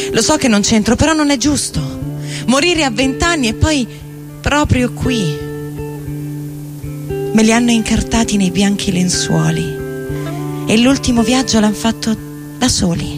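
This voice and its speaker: Lady playing a tired bitter hotel porter, slow spoken word theatre reading, guitar loud behind her. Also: on the high side, animated. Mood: bitter sorrow. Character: weary, disillusioned hourly-hotel bar porter, moved and bitter. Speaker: stage reader. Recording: loud guitar music under voice. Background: plucked guitar music.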